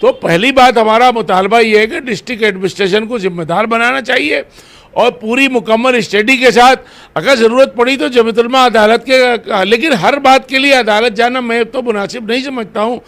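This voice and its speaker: High-pitched man in interview. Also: normal speed, a bit bright. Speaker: religious organisation president being interviewed. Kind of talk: interview answers.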